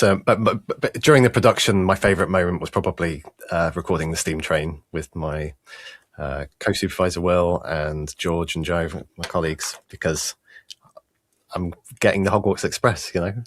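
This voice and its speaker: A man with a deep voice, speaking casually as a panel guest and telling anecdotes. He is recorded close and very dry. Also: fairly even intonation, moderate pace, dry recording. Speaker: sound supervisor on a panel.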